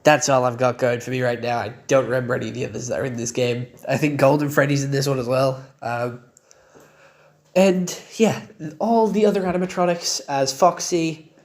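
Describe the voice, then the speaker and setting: Young guy, croaky voice, loose chatty delivery with fillers, casual YouTube game reviewer. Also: medium pitch, steady tone, fairly quick.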